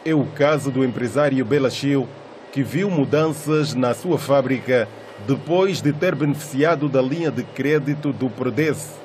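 A man reading a formal news report in a measured voice. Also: medium pitch, darkish tone, slight background noise. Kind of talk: scripted news narration.

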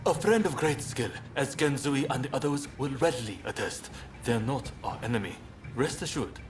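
Video game samurai rebel leader, played by a man: composed, formal, guarded, mid-pitched, with prominent score underneath. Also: animated, normal speed, lightly acted. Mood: earnest. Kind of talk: video game cutscene voice acting. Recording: dramatic music behind the voice.

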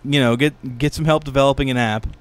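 A man's voice: medium pitch, steady tone, fast talker, a little hiss or hum. A man co-hosting a casual podcast with dry, joking banter, close on a studio mic. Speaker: podcast co-host, comic artist. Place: small studio room.

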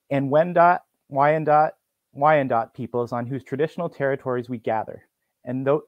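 A man in his thirties, earnest and measured, speaking as a guest co-host on a video call. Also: mid-range voice, no room sound, muffled recording. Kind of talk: formal land acknowledgement.

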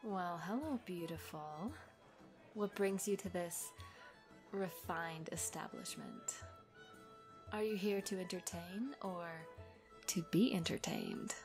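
A woman's voice: lowish voice, sing-song, slow, pauses often, a little mellow, exaggerated voice. Woman playing a sly tavern flirt, swooping intonation. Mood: flirtatious. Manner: coy, teasing, mock-polite. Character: flirtatious, sly tavern temptress in fantasy city. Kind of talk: ASMR roleplay acting. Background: music.

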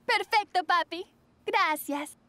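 A woman's voice: very high-pitched, slow pace, bright, forceful tone, dry recording, heavily acted. A woman voicing a sweet but exasperated teenage birthday girl in a cartoon dub, pleading at times. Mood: upbeat, assured. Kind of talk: animated series dubbing.

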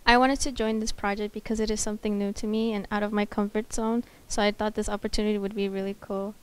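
A teenage girl, a high school senior, introducing herself steadily with a handheld mic. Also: level tone, fast talker, smooth. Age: teens. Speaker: student. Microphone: handheld microphone.

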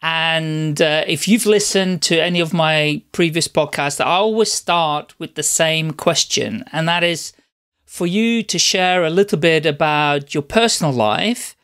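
A woman's voice: low voice, unhurried, fairly bright tone, smooth. A woman from the UK hosting a podcast, friendly and encouraging as she interviews her guest. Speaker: podcast host.

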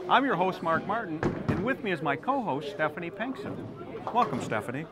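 A man's voice: high-pitched, big pitch swings, fairly quick, very noisy. A middle-aged man hosting a bowling show in a bowling alley, explaining the format in an upbeat way on a lapel mic. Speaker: host. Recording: loud music underneath.

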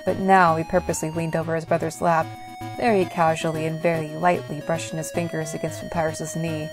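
A woman's voice: deep voice, flat delivery, fairly quick, music in the background. A woman reading scripted story narration as the narrator of an audio drama.

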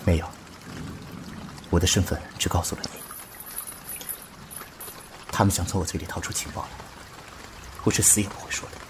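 A man's voice: low voice, fairly even intonation, normal speed, pauses often, noisy background, lightly acted. A man playing a grave, restrained, resolute young undercover army officer in a radio drama. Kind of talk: solemn Chinese radio drama acting.

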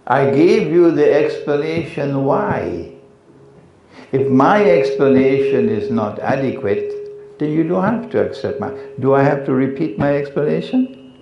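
A man's voice: a little high, expressive, measured pace, a little hiss or hum. A man with a Trinidadian accent answering audience questions after a lecture, firm and corrective. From Trinidad and Tobago. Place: lecture hall. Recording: echoey hall, slightly dull.

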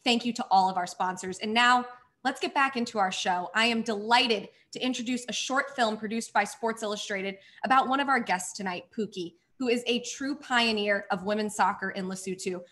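A woman's voice: a little high, normal speed, fairly bright tone. A woman hosting a virtual charity gala, thanking sponsors effusively while losing her voice. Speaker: gala host. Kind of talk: scripted event hosting.

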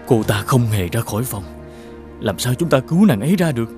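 A man's voice: medium pitch, lively intonation, a little fast, a little mellow, faint music behind, natural voice. A man voicing a noble, earnest young prince in a fairy-tale cartoon. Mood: urgent.

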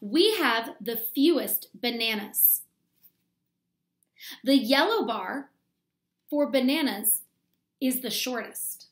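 A woman in her thirties reading a children's book aloud like a teacher, explaining deliberately and asking the young listeners questions. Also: a little high, animated. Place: small plain room at home, indoors.

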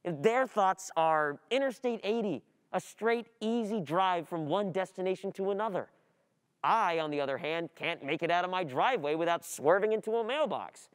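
A young adult performer playing an overwhelmed, distracted student in a halting, agitated radio play monologue. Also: medium pitch, expressive, smooth, lightly acted. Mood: scattered, wry.

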